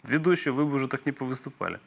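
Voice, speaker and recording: A man from Kyiv in his thirties, hosting a debate in a dark television studio on a lapel mic. He talks haltingly, with frequent fillers and restarts, but keeps pushing his point. His voice sits at an ordinary middle pitch for a man. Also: animated, vocal fry, husky. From Kyiv, Ukraine. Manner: hesitant, many fillers, presses his argument. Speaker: talk show host. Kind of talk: studio talk show debate.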